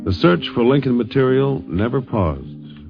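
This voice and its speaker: A man's voice: mid-range voice, very animated, unhurried, vocal fry. A man reading scripted documentary narration as a radio narrator. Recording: dull 1950s radio recording, music behind.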